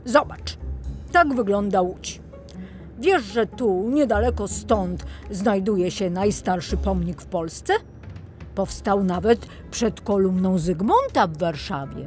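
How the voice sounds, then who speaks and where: A woman's voice: mid-range voice, expressive, slow, a little mellow, faint music behind. A woman voicing an earnest, friendly talking toy fire truck in a children's audio tale. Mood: earnest, encouraging.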